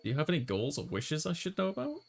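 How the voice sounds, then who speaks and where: A man's voice: a little high, wildly swooping pitch, quick pace, creaky. A man hosting a let's play, chatting casually and reading a visual novel's lines aloud. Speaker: host, gamer reading player character lines.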